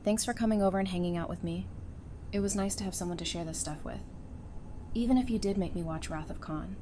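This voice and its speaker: A woman acting a sassy, warm-hearted character in an audio drama, with casual, teasing delivery. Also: medium pitch, quick pace, natural voice. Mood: warm, wry. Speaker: voice actor in a fan audio drama. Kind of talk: acted audio drama dialogue. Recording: faint background ambient noise.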